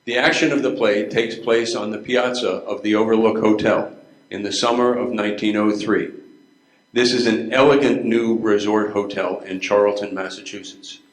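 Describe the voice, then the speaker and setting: A man's voice: fairly low pitch, steady tone, moderate pace. An older man reading scripted narration as the narrator of a readers theater play. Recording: echoey room.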